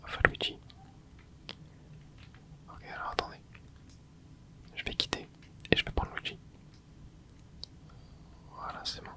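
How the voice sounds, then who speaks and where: Whispered ASMR gaming commentary. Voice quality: breathy unvoiced whisper. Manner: soft casual running commentary with short interjections. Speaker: gaming ASMR creator narrating own play. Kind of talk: whispered ASMR video game let's play commentary.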